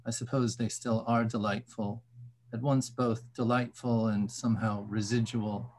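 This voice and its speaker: A man's voice: fairly low pitch, level tone, measured pace, darkish tone. A man giving a lyrical reading of a literary text. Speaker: reader. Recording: faint background ambient noise.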